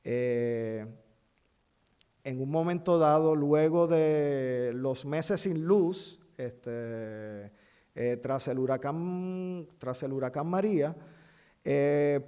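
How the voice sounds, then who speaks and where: Puerto rican guy lecturing slow, kinda high voice, lots of ums and pauses. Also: warm tone, smooth. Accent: Puerto Rican Spanish. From Puerto Rico. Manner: halting, many fillers, spells things out slowly. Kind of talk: university lecture. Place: university amphitheater.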